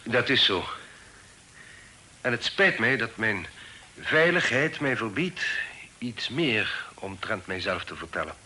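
An actor playing a secretive, authoritative middle-aged father in hiding in a period radio drama, speaking formally and deliberately. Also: fairly low pitch, expressive, pushed and bright, exaggerated voice. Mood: measured, courteous. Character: secretive, authoritative fugitive father, stern and shrewd. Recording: noisy old radio drama recording.

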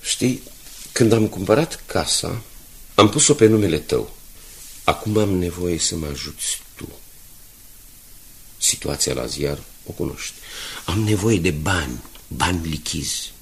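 A man's voice: low voice, animated, gravelly, pauses often, fairly bright tone, exaggerated voice. An actor playing an embattled, earnest middle-aged newspaperman and husband in a radio drama, pleading and remonstrating. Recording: hissy 1980s radio drama recording.

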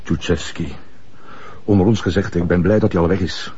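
A male actor as an unhurried Flemish crime scene officer in a television drama. His voice is lowish and dark, his delivery dry and matter-of-fact. The recording is slightly muffled. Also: fairly even intonation, slight background noise, natural voice. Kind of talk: naturalistic TV crime drama acting.

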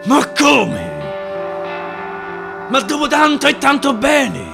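A man's voice: very high voice, huge pitch swings, slow, gravelly, music in the background, voice pushed up, exaggerated voice. A man playing a betrayed explorer in a radio theatre verse monologue, indignant and declamatory, with a Roman accent. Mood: wounded indignation. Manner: indignant, declamatory rhetorical questioning. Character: betrayed explorer raging at an ungrateful king.

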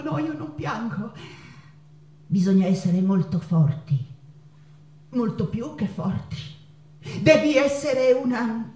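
A woman playing a passionate, wounded Mexican painter in a theatrical monologue, with halting, drawn-out phrases. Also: high voice, warm tone. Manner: dramatic, halting delivery with drawn-out phrases and repetitions. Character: passionate, wounded Mexican woman painter, wife remembering her unfaithful husband. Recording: roomy echo with guitar music. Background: acoustic guitar music.

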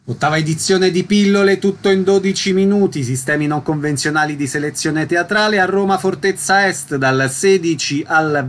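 Higher-pitched male radio host. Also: a little hiss or hum. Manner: concise, formal questions. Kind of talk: scripted radio interview presenting.

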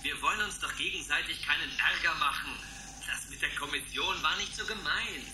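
A man's voice: a little high, brisk, roomy sound, music in the background, natural voice, rough recording. A man acting a condescending, flustered caretaker who placates and mutters in a German radio play. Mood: placating, exasperated.

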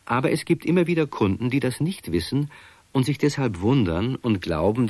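A man's voice: on the low side, a little mellow, dry recording. A man narrating a children's radio play as a storyteller, speaking directly to his listeners. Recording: dry studio recording.

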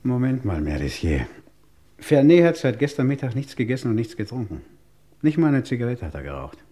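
An actor playing a stern, exasperated police inspector, brusque and insistent, in an old German radio crime drama. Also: male voice, mid-range voice, very animated, fairly quick, mellow, natural voice. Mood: stern, accusing.

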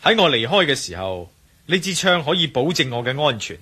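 A bold male adventurer in a radio drama, with a Hong Kong Cantonese accent. His delivery is commanding and suspicious, swinging widely in pitch with a sharp, slightly rough edge. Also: big pitch swings, fairly quick, full character voice. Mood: guarded.

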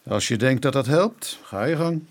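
An actor playing a cautious, weary police inspector with measured authority in a Dutch radio drama. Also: male voice, mid-range voice, animated, close to an everyday voice. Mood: weary, pondering.

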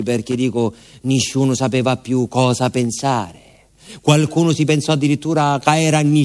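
A man's voice: mid-range voice, lightly acted. An actor playing a garrulous village postman who recounts his youth in a southern Italian accent, with folksy asides, as a theatrical radio monologue. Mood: puzzled, ironic. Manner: colloquial, folksy asides and repeated interjections.

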